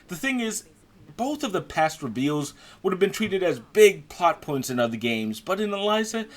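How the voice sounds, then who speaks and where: Man, medium pitch, game reviewer, conversational, opinionated, emphatic on key words. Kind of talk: video review commentary.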